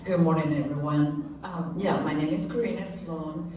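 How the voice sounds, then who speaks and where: A woman's voice: deep voice, fairly even intonation, normal speed, darkish tone. Chatty woman from Venezuela, echoey hall. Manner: chatty, rambling, self-deprecating. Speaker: panelist. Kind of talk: self-introduction on a panel. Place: convention hall. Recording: echoey hall from far off, faint noise.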